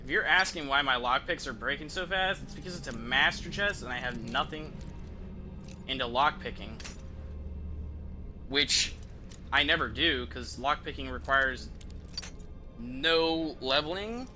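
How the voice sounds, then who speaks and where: A man's voice: mid-range voice, unhurried, pauses often, music in the background. A man doing casual, profane and slangy commentary as he livestreams a video game. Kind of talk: casual gameplay commentary to stream viewers.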